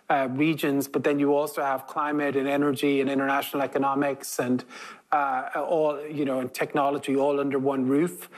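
A man of about fifty with an Irish accent, answering as a former official in a panel conversation, fluent and steady with frequent fillers. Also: medium pitch. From Ireland. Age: around 50.

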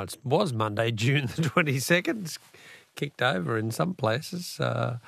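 Jovial Australian radio host, dry studio sound. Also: male voice, medium pitch, a bit bright, no echo. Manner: jovial, chatty, laughs often. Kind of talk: late-night talkback radio. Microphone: studio microphone.

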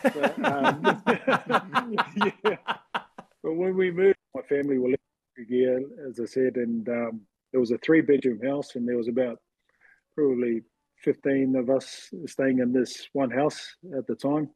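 A man in his forties with a husky voice, casually telling personal stories as an interview guest. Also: medium pitch, unhurried, poor audio. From New Zealand, later Western Sydney, Australia. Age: 40s. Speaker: interview guest, former rugby league player.

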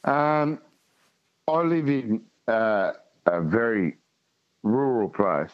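Slow, halting Tasmanian bloke. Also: male voice, fairly low pitch, dry recording. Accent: thick rural Tasmanian Australian. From Tasmania, Australia. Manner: halting, matey. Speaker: radio call-in guest. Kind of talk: casual chat. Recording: dull phone-in line.

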